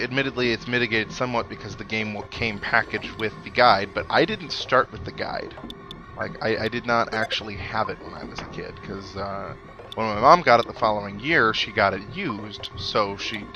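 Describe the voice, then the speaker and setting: A man's voice: lowish voice, normal speed, rough recording. A man giving casual, rambling let's play commentary, thinking aloud as he plays. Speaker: gaming host. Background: video game music.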